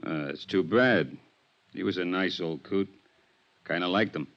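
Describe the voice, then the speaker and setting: An actor playing a wary, slangy American petty thief just out of prison, in a 1950s radio drama. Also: male voice, low voice, unhurried, fairly bright tone. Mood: guarded, casual. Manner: slangy, clipped, guarded street talk. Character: wary petty-thief ex-convict, tough, slangy, evasive man. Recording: dull old radio recording with music.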